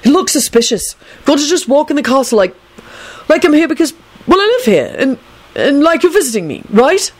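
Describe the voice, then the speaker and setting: Lady doing an eager young guy in an audiobook, blunt, excitable, voice up high and bouncy. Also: a little fast. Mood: bossy.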